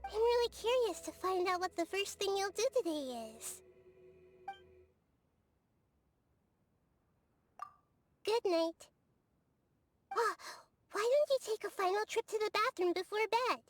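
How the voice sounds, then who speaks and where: A woman voicing a small, gentle, curious child deity in a video game, thoughtful and soft-spoken. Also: very high voice, animated, moderate pace. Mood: serene, caring. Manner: soft-spoken, thoughtful, quizzical, speaks in animal analogies. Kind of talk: video game character dialogue. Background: music.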